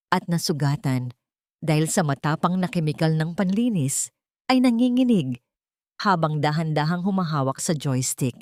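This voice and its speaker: A woman's voice: low-pitched, a little mellow, no room sound, clean studio sound. A woman narrating a dramatic audiobook story in a solemn, suspenseful manner.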